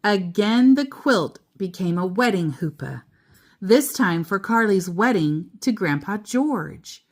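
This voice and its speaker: A woman reading a children's book aloud as a storyteller, deliberately, pausing between short phrases and adding casual asides. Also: medium pitch, lively intonation.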